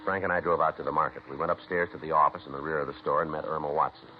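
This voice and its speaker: An American man playing a terse, matter-of-fact police detective in a 1950s radio drama, with a clipped, deadpan, businesslike delivery. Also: a bass voice, rapid-fire, music in the background. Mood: dry, businesslike. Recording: noisy, muffled 1950s radio recording.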